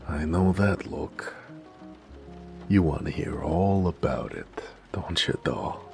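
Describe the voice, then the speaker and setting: A man performs a flirty, cocky mafia driver in a noir romance audio drama, American and full of teasing street slang. The voice sits extremely deep with heavy gravel and fry. Music plays clearly behind the intimate talk. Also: heavily acted. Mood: playful, coy. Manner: teasing, slangy tough-guy drawl. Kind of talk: romantic noir audio roleplay, intimate one-sided dialogue.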